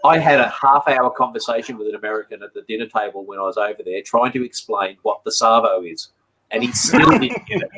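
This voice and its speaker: A man's voice: lowish voice, very animated, brisk, fairly bright tone, low-quality recording. A man with a broad Australian accent on a group video chat, laid-back and heavy on slang. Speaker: homesteader guest.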